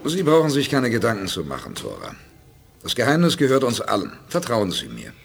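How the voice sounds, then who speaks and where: An actor playing a determined, confident space expedition commander in a radio drama, authoritative and persuasive. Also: male voice, mid-range voice, huge pitch swings, a little fast, gravelly, a little hiss or hum. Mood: reassuring. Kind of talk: 1980s German radio drama acting.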